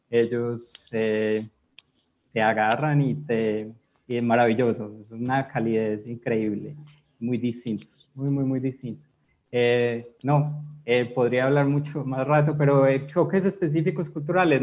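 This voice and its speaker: A man from Colombia describing his travel experiences hesitantly, with many fillers. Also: mid-range voice. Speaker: guest. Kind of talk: conversational storytelling. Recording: tinny phone-like call audio.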